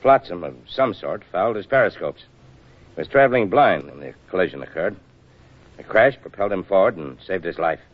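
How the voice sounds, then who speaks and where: An actor playing a gruff older navy officer, formal and measured, in a 1940s radio drama. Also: male voice, deep voice, animated. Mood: stern, deliberate. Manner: stern, measured, formal delivery. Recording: dull vintage 1940s radio recording.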